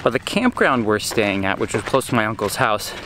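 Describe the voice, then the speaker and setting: A man's voice: on the low side, fairly quick, background noise. A man in his forties hosting a casual travel vlog, talking to a camera held at arm's length outdoors. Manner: relaxed, chatty, explains details to camera. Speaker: vlog host. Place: outdoors on a desert trail.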